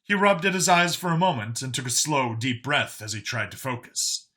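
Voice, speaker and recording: A man narrates a story as an audiobook reader. His voice is very bright and forceful, sitting at an average male pitch. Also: expressive, normal speed.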